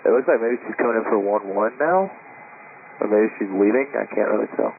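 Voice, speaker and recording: A man giving unscripted, halting traffic reports over an aviation radio. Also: lowish voice, vocal fry. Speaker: pilot reporting on local radio frequency. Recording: tinny, noisy air traffic radio.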